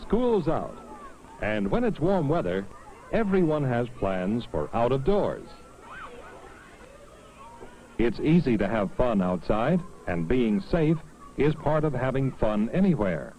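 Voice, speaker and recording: A man's voice: medium pitch, very animated, unhurried, warm tone, a little hiss or hum, rough recording. A man reading scripted narration in the style of an educational film.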